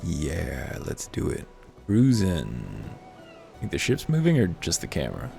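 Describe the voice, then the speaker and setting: A man's voice: rumbling low, wildly swooping pitch, a little slow, gravelly, darkish tone. A man hosting a game playthrough with casual, dry, ironic commentary over music. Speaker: host of a voiced game playthrough. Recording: music behind the voice.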